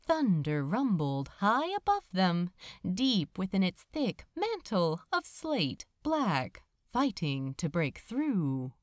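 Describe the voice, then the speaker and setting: A woman reading a scripted story aloud as narrator, dramatic and measured, lingering over her phrases. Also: lowish voice, big pitch swings, no echo, studio quality. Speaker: audiobook narrator of fan fiction.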